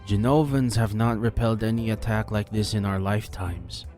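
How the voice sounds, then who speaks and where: A man voicing a grave, measured male ninja master bringing ill news. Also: lowish voice, steady tone, a little mellow, faint music behind, voice pushed up, close to an everyday voice.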